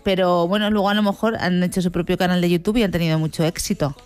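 A woman gives casting feedback in a measured, frank way, often pausing on fillers. Her voice sits a little low, and soft music plays behind her. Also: steady tone, clear, resonant tone. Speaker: casting panelist. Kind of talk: casual panel chat.

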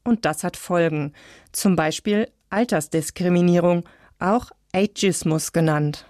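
A woman with a fairly low voice reads scripted radio narration. She speaks in a measured, clear way. The recording is dry and close, with no room sound. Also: darkish tone, no echo.

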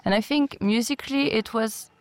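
A woman's voice: on the high side, moderate pace, a little mellow, no room sound. A young adult woman from France, speaking as a music producer in a thoughtful, hesitant way. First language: French. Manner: thoughtful, hesitant, with fillers and restarts. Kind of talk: interview talk.

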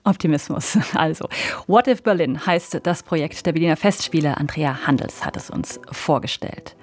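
A woman hosting a morning radio culture show, presenting in a lively, conversational way from the studio. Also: sits low, moderate pace. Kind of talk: radio moderation. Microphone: studio microphone.

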